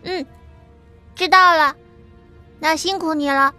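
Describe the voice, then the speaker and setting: A woman voicing a weary young psychic who speaks quietly and thoughtfully, in an audiobook with soft music underneath. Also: high-pitched, takes it slowly, voice pushed up, exaggerated voice.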